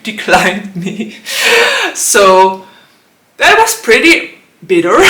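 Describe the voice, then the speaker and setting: A woman with a slightly raspy, hoarse voice, chatting casually about books in her vlog and giggling often between phrases. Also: fairly low pitch, bright, forceful tone. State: hoarse from allergies. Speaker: book vlogger. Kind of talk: casual book vlog chat. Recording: echoey room.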